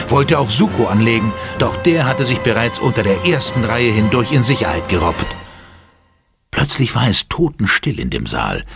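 A man giving dramatic scripted narration for a German horror audio drama. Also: medium pitch. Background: music.

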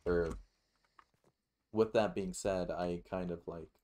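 A man's voice: low voice, fairly even intonation, slow pace, dark tone. A man giving casual, rambling let's play commentary with some swearing. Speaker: gaming YouTuber.

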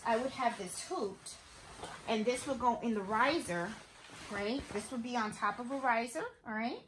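A woman's voice: on the high side, a little hiss or hum. Middle-aged woman, casual how-to host, talks her way through each step, recorded in a roomy room. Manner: chatty, thinking aloud while working. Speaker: sewing channel host. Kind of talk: casual embroidery tutorial. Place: home sewing room. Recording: roomy home sewing room.

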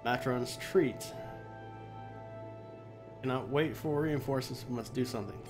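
An earnest military captain from a fantasy game, read aloud by a man. The delivery is formal and measured at a middling pitch, with a cold and the odd stumble. Game music plays behind. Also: lightly acted. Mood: grave, formal. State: has a cold. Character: earnest military captain giving a quest briefing. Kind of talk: quest text read aloud.

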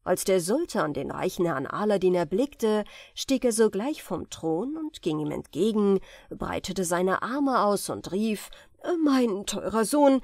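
A woman narrating a fairy tale as a scripted audiobook, in an expressive storytelling manner. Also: mid-range voice, measured pace, vocal fry, few pauses, dry recording, clean studio sound.

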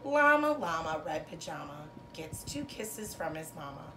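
A woman in her thirties, a teacher reading a children's storybook aloud to pupils with expressive, sing-song phrasing and emphasized words. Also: deep voice, unhurried, a little hiss or hum, poor audio. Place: bedroom, indoors. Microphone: camera a couple of metres away.

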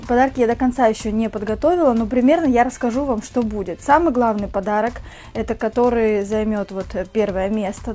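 A young woman vlogger talks chattily to camera on a lapel mic in a small room, a touch hoarse from a cold. Her voice sits fairly high, and she fills her talk with little pauses and cosy diminutives. Faint music plays behind her. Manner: chatty, with fillers and soft diminutives. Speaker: beauty vlogger. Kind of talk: casual chat to camera.

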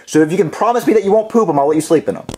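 A man's voice: high voice, expressive, racing, warm tone. A man playing an exasperated tired dad. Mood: scolding. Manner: exasperated, scolding, sighing.

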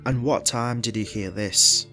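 A man's voice: medium pitch, fairly even intonation, a little slow, over music, lightly acted. A man acting as an earnest, inexperienced junior detective in an audio drama, young and formal. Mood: official. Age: young adult. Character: earnest junior male police detective, official, green.

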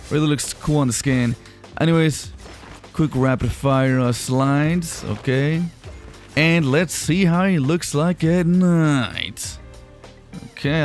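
A young man hosting a gaming video, giving casual gameplay commentary in a chatty, slangy, informal way. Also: mid-range voice. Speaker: gaming video host and streamer.

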